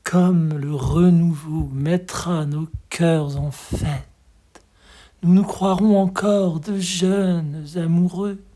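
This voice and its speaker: A hushed, breathy voice giving an expressive, tender recitation of poetry in a measured way. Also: mid-range voice. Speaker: poetry reader.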